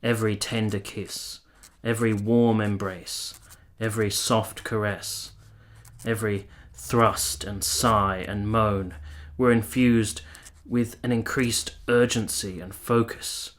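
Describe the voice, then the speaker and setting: Actor as romantic 19th-century gentleman, male, fairly deep voice, literary and tender, for a period radio play. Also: flat delivery, slow. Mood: sensual, reminiscing. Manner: literary, lingering, formal storytelling. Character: romantic, wistful 19th-century Italian gentleman lover recalling his affair. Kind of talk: radio play acting and first-person narration.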